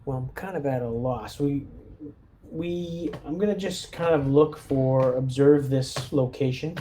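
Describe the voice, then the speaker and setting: Mellow, hesitant man over background noise. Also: mid-range voice, unhurried. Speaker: player in a tabletop role-playing game. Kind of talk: casual game table chat.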